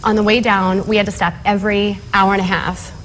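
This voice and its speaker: A woman's voice: medium pitch, flat delivery, brisk, a bit bright, a little hiss or hum, rough recording. A middle-aged woman speaking as a television interview guest, conversational and matter-of-fact, with short emphatic phrases.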